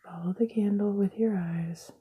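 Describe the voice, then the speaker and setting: A woman whispering throughout an ASMR video indoors at a table, making sarcastic, self-mocking jokes in a soft unvoiced whisper, recorded close up on a camera mic. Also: mid-range voice, steady tone, moderate pace, breathy edge, dull audio.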